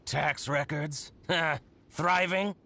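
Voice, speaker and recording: A man voicing an excitable, jealous, scheming shopkeeper in a video game, theatrical and exclamatory. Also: on the high side, very slow, a little hiss or hum, exaggerated voice. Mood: indignant. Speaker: game character voice. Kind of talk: scripted game dialogue. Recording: clean voice over game music.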